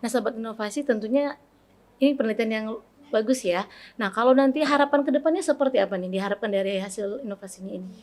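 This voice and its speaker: Woman hosting a podcast, chatty and upbeat, kinda high pitched. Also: fast talker, a little mellow. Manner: chatty, frequent "nih" and "ya" fillers, encouraging. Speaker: podcast host. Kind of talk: casual interview chat.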